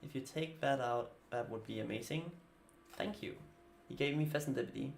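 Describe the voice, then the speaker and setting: A man's voice: medium pitch, moderate pace, creaky, slight background noise. A man with a Danish accent, a native Danish speaker, streaming live and casually thinking aloud about his card-game moves. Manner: thinking aloud while playing, casual, muttering asides. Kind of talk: live gameplay commentary.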